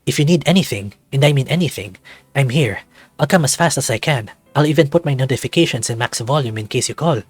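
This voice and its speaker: Man voices devoted beast-man companion for visual novel: reassuring, attentive, medium pitch, dry recording. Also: animated, fast talker, husky, no room sound, faint music behind. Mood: caring. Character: devoted, caring male furry best friend with paws. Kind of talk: visual novel character read-aloud.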